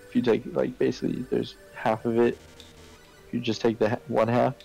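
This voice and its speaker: A man chatting casually as he streams a game, halting and often repeating his words. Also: medium pitch, flat delivery, gravelly, warm tone, low-quality recording. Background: video game music.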